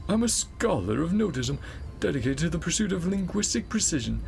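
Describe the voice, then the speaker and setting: A man's voice: a little high, big pitch swings, a little fast, vocal fry, darkish tone, voice pushed up. A man voicing a prim, earnest nymph scholar from a video game, talking in a stilted, formal and pedantic way. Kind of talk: reading game dialogue in a put-on voice.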